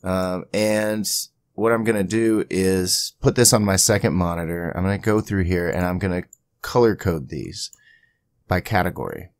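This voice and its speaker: A man hosting an informal screen-recording software tutorial, rambling a little as he thinks aloud. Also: sits low, moderate pace. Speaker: tutorial host.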